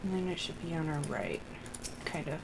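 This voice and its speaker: A woman's voice: low-pitched, sing-song, a little fast, creaky, very noisy. A woman casually commenting on her gameplay as a streamer, muttering to herself as she plays. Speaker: game streamer. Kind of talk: casual live gameplay commentary with read-aloud dialogue.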